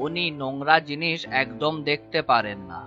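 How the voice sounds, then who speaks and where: A man's voice: on the high side, expressive, normal speed, highs cut off, soft background music, lightly acted. A man voicing a deferential cartoon tenant who confides as an informant. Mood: confiding. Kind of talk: cartoon voice acting.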